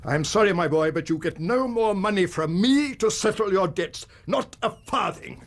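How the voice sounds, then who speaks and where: A man's voice: high voice, lively intonation. An actor playing an anxious, upright older British banker and weary father, speaking formally in a radio drama. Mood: stern, reproachful. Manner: formal, measured, distressed. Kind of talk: BBC radio drama acting.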